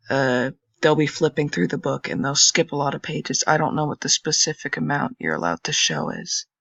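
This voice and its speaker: A young man with a raspy voice, casually commenting and thinking aloud while browsing old web pages on a screen recording. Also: fairly high pitch, fairly bright tone, dry recording. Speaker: channel host. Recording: dull lo-fi dry recording.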